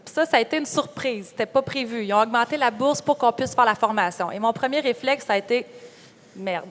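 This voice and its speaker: A young woman with a Quebec French accent speaks in a fairly high voice. She tells her own story in a candid, informal way as a panelist. The sound carries the long reverb of a hall. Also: quick pace, a bit bright. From Quebec, Canada. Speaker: panelist, farm entrepreneur. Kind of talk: conference panel personal account. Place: conference room panel.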